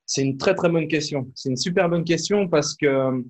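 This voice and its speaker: A man from Switzerland, a podcast guest and fitness coach, speaking in a relaxed, halting way with many fillers and restarts. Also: fairly high pitch. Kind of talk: casual podcast interview.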